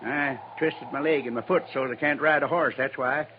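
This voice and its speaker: An actor playing a cantankerous old American buffalo hunter in a radio western, talking in gruff, folksy frontier dialect. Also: male voice, mid-range voice, fairly even intonation, normal speed, lightly acted. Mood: bitter, threatening. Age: older. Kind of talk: 1950s radio western acting. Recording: muffled vintage radio recording, faint noise.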